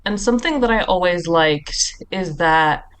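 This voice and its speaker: A woman's voice: on the low side, lively intonation, normal speed, clean tone. A woman in her thirties, a film critic guest on a podcast, talking casually in long reflective thoughts. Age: 30s.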